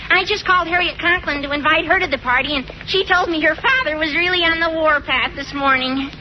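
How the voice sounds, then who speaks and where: An earnest, excitable teenage schoolboy with an extremely high, squeaky voice. He talks in florid 1940s radio comedy style, on a dull, noisy old recording. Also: fairly quick, full character voice. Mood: gossipy, mocking. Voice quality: squeaky adolescent voice. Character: eager, squeaky, lovesick-matchmaking teenage schoolboy. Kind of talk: 1940s radio sitcom acting.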